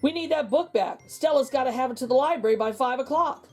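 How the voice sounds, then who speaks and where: A woman's voice: high voice, brisk, soft background music, voice pushed up. A woman voicing an urgent, enthusiastic boy in a storybook, exclaiming his lines. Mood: urgent shouting. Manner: emphatic, exclaiming.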